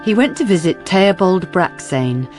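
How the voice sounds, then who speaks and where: A woman's voice: fairly low pitch, sing-song, measured pace, darkish tone, soft background music. A woman narrating a scripted radio drama.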